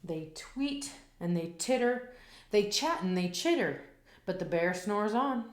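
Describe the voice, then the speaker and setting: A woman reads a children's picture book aloud at an unhurried, lilting pace, leaning into the rhyme and rhythm. Her voice sits at a medium pitch and stays expressive throughout. The room around her adds a clear echo. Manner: slow, expressive, rhythmic reading. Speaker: storyteller reading aloud.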